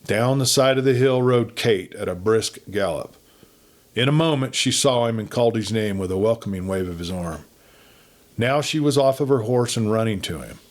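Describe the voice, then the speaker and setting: Low-pitched man narrating a western tale. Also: lively intonation, normal speed. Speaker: audiobook narrator. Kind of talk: dramatic narration of a western story.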